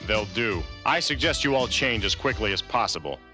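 Man playing a brainy cartoon scientist, lowish voice, formal, deadpan, pedantic, music behind. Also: normal speed, lightly acted. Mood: matter-of-fact. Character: earnest, precise, brainy male scientist ghost hunter. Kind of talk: cartoon voice acting.

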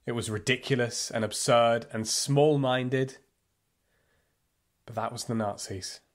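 An actor playing a fervent, bitter football supporter recalling the Nazi era in an audio play monologue, with deliberate pauses. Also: male voice, medium pitch, fairly even intonation, natural voice. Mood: grave, bitter. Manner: impassioned storytelling with deliberate pauses. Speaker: narrator. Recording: music behind the voice.

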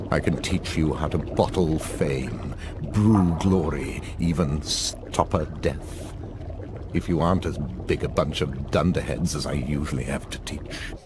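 A man's voice: very deep voice, background noise. A man with a British accent playing a stern, contemptuous middle-aged professor in a video game, silky and condescending. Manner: slow, deliberate, condescending. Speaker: teacher. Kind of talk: video game character acting.

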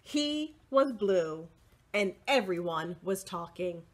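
A middle-aged woman reading a picture book aloud to young children, expressive and deliberate, with short asides to the listeners. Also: mid-range voice. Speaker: children's library storyteller. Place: indoors, small room with bookshelves.

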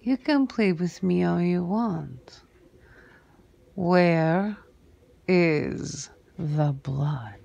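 A woman playing a cold, sardonic vampire crime boss in an ASMR roleplay, breathy and husky, often sinking into a whisper with a deliberate, menacing drawl. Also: fairly low pitch, warm tone, lo-fi sound, exaggerated voice. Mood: mock-sweet menace. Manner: slow, deliberate, menacing drawl with long pauses.

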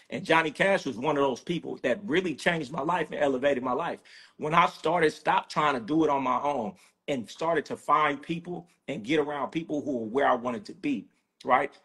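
A man's voice: on the high side, a bit bright, husky. A man from Sacramento talking bluntly and profanely as a guest on a casual video-call podcast. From Sacramento, California.

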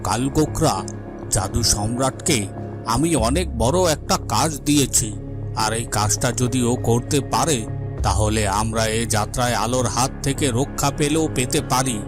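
A man playing a scheming villain leader in a fairy-tale cartoon, deliberate, commanding and theatrical. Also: medium pitch, over music, natural voice. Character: scheming male villain leader plotting against heroine.

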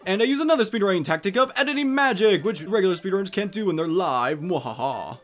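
A man's voice: sits high, expressive, fast talker. A man giving casual let's play commentary on a video game, with jokey, playful asides.

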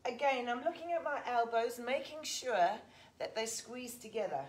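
A woman's voice: on the high side, animated, moderate pace. A woman in her forties with an English accent, leading a live workout and counting reps while she exercises. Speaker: fitness instructor. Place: large indoor gym. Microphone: fixed camera a few metres off.

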